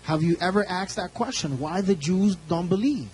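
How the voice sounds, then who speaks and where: Church interpreter, man, relays the preacher phrase by phrase with restarts, slightly high voice, faint hum. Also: a little mellow. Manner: quick, restarting, echoes the speaker phrase by phrase. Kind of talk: live interpreting of a sermon.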